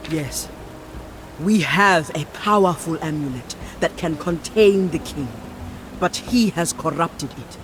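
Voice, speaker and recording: A woman's voice: sits low, lively intonation, takes it slowly, noisy background. A woman playing a resolute, devout priestess in a video game, speaking in solemn, emphatic declarations. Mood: earnest, grave. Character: resolute, devout priestess champion defying her elders. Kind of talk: dramatic video game acting. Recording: music behind the voice.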